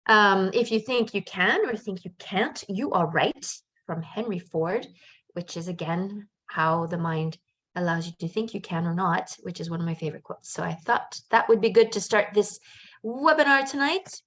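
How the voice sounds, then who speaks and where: A woman's voice: mid-range voice, normal speed, a bit bright. A middle-aged woman who was born in Canada and raised in France, a life coach giving a casual live webinar in a chatty, upbeat way with lots of fillers and asides.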